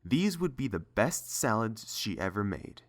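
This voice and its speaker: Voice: medium pitch, big pitch swings, a little slow, creaky. A narrator reading scripted story prose.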